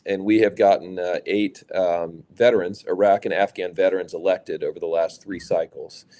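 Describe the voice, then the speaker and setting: A middle-aged man from Louisiana, a business executive answering interview questions in a measured way, with many fillers and restarts. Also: sits low, fairly even intonation, warm tone. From Louisiana, USA. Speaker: private equity executive, guest.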